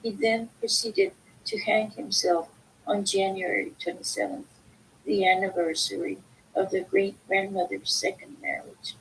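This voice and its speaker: A woman reading book excerpts aloud, with halting, deliberate phrasing. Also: flat delivery, vocal fry, rough recording. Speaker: reader of a book. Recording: faint background noise, low rumble.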